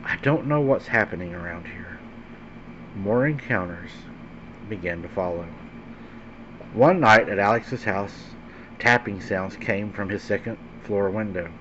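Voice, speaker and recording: A man with a fairly low voice narrates a horror tale in a dramatic, suspenseful manner. His phrasing is deliberate and emphatic. The recording is dull and lo-fi, with faint noise behind him. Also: fairly bright tone. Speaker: host and narrator of a paranormal story show. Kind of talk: dramatic scary-story narration. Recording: dull lo-fi sound with faint background hum.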